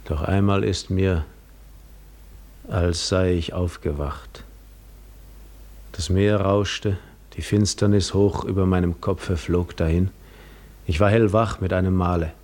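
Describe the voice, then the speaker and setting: Husky, brooding wounded seafarer. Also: male voice, low-pitched, fairly even intonation, slow, pauses often, darkish tone. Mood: brooding, dreamy. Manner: brooding, introspective. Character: weary wounded seafarer recalling a dreamlike waking. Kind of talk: 1950s German radio drama monologue.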